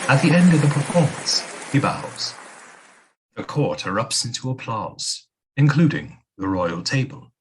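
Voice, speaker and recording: A man narrating an audio play in a measured, scripted storybook delivery. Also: mid-range voice, very animated, background noise.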